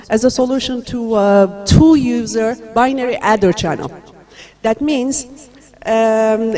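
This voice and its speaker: A woman from Turkey giving a university lecture, talking in a friendly way with many fillers and pauses. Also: on the high side, mellow.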